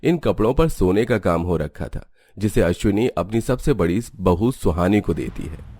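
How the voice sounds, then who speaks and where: A man's voice: sits low, lively intonation, moderate pace, mellow. A man reading scripted narration for a cartoon story.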